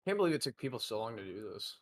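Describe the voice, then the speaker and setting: A man playing a game with a friend over voice chat. His pitch sits in an ordinary middle range, and he speaks in a low-key, half-mumbled way, mostly asking quick questions. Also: big pitch swings. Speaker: co-player in voice chat. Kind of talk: casual gaming chat.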